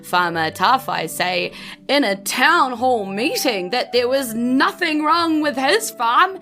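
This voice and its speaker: A woman's voice: high-pitched, expressive, slow pace, sharp tone. A woman with a New Zealand accent hosting a tabletop game podcast as game master, speaking in a gushing, affectionate way. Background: faint music.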